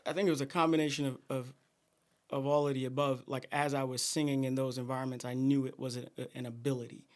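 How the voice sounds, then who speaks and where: A middle-aged man from Guyana, a singer, chatting in an interview in a soft, halting way with many fillers. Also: medium pitch, little pitch movement. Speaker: guest singer. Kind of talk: casual interview chat.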